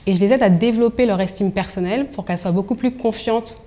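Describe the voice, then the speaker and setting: A young woman from Angola and Congo, speaking as a professional coach in an interview, articulate and expressive as she tells her own story. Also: mid-range voice, fast talker. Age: young adult.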